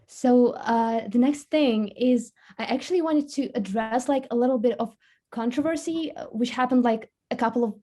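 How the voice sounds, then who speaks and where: A woman's voice: fairly high pitch, normal speed, mellow. A woman, a native Russian speaker, interviewing in a casual, conversational way.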